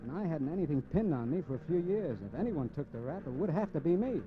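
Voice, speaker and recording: An actor playing a cocky, scheming crook in a 1950s radio crime drama, slangy and sardonic. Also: male voice, a little high, lively intonation, fast talker, noisy background, lightly acted. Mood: cynical narration. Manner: hard-boiled, slangy, sardonic. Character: cocky, cynical, scheming male crook narrating his crime. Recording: muffled old 1950s radio recording.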